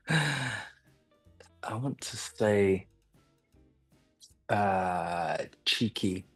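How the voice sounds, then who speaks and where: A man from London speaks as an acting coach in a casual interview. He talks slowly and thoughtfully, laughing easily, in a dry and close sound. Faint music sits in the background. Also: on the low side, expressive, slightly rough, no room sound.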